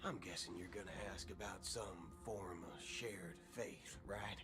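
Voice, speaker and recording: A man's voice: low voice, fairly even intonation, measured pace. A man playing a swaggering, folksy cowboy gunslinger from space in a video game, with a theatrical American Western drawl. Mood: earnest. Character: swaggering male cowboy galaxy ranger gunslinger, folksy and amiable. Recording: game music behind the voice.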